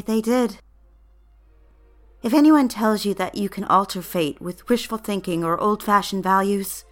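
A woman's voice: medium pitch, fairly bright tone, close to an everyday voice. Actress as young bride-to-be in old-time radio drama, genteel and earnest, romantic, nervy, faint music under her. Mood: ominous, confessional. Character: anxious young bride-to-be office woman, reflective romantic narrator. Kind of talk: dramatized first-person narration and dialogue, period radio drama.

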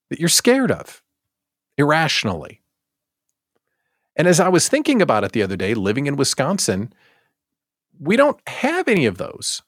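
A man's voice: on the high side, no echo, clean studio sound. An American man hosting a casual live show, chatting playfully to his audience and repeating words for emphatic comic effect. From United States. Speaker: host of a daily live show for small business owners.

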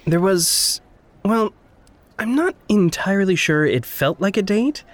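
A man's voice: high voice, animated, unhurried, no echo. An actor playing an awkward, earnest, reserved young man in a fiction podcast, speaking naturally with hesitant, careful, formal wording. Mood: awkward, unsure.

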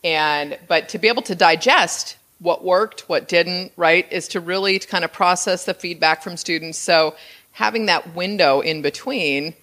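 A woman in her fifties hosts a podcast interview with a low-pitched voice. She talks in an enthusiastic, chatty way, restarting her sentences as she goes. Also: measured pace, fairly bright tone. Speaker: podcast host. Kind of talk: casual interview chat.

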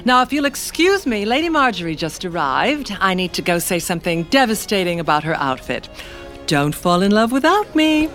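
A woman's voice: medium pitch, very animated, normal speed, fairly bright tone, soft background music. An actress playing a witty, catty young noblewoman with an arch, dry manner in an audio drama. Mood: dry, teasing.

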